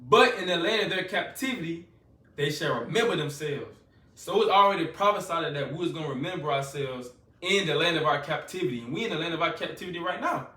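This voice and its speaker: Man, preacher, fervent, repetitive, bright edgy voice, distant mic. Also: on the high side, expressive, fairly quick. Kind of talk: scripture-reading sermon. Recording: echoey room, voice from a distance.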